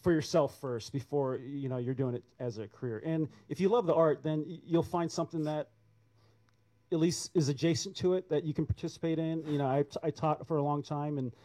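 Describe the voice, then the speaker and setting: A man's voice: fairly high pitch, fairly even intonation, fairly quick, warm tone. A man answering an audience question on an artists' panel, rambling and restarting his sentences. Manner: rambling, self-correcting.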